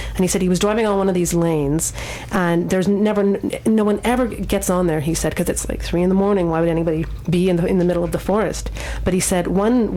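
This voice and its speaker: A woman's voice: fairly low pitch, warm tone, poor audio. A woman hosting a radio show, telling stories in a casual, rambling and repetitive way. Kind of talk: casual spoken anecdote on community radio.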